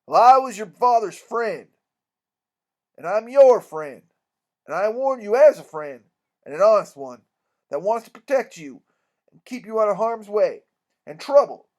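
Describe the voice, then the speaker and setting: A man reading a story aloud plays an iron-jawed country doctor. His voice is high and emphatic, and he speaks bluntly, with stern indignation and a scolding edge. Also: animated, a little slow, long pauses, a little mellow. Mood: urgent, warning.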